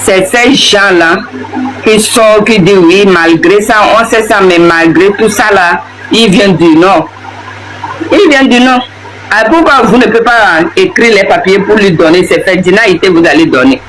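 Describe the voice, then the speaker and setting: Heated high-voiced Togolese man ranting. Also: normal speed, background noise. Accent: Togolese French. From Togo. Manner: heated, repetitive, scolding. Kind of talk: impassioned political rant to camera.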